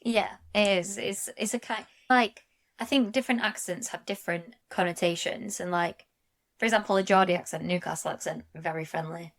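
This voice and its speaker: A woman co-hosting a podcast in a casual chat, chatty and quick to agree and chime in. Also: fairly low pitch. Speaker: podcast co-host.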